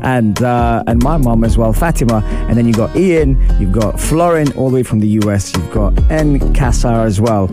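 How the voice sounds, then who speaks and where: A man with a husky voice hosting a radio show, chatting casually through a football match review and greeting listeners. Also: mid-range voice, expressive, moderate pace, hardly any pauses, music in the background. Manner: chatty, shout-outs to listeners. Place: radio studio.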